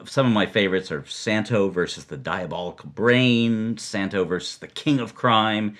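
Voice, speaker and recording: A man co-hosting a storytelling podcast, narrating in an animated, conversational way. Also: fairly low pitch, moderate pace.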